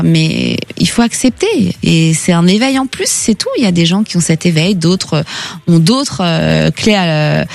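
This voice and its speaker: A woman's voice: on the low side, gravelly, a little mellow, no room sound. A woman hosting a radio phone-in, giving callers intuitive advice in a conversational, reassuring and encouraging way. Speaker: radio phone-in host giving psychic readings.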